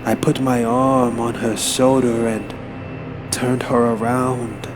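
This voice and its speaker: Slow-paced man reading horror over music. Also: mid-range voice, little pitch movement. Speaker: narrator. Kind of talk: dramatic horror story reading. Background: background music.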